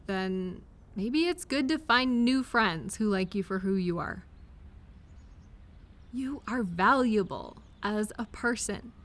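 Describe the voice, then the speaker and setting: A woman acting as an affectionate partner comforting someone close in an audio roleplay. Her voice sits on the high side and she takes her time, speaking very slowly. She talks gently and directly to the listener, encouraging them. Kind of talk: intimate comfort audio roleplay, one-sided conversation.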